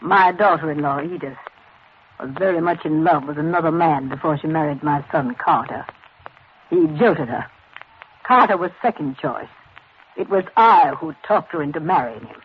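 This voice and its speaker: An actress playing an imperious, vengeful older mother in 1940s radio drama, speaking in a deliberate, dramatic way. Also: low voice, slight background noise, lightly acted. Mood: bitter, vengeful. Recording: dull old radio recording.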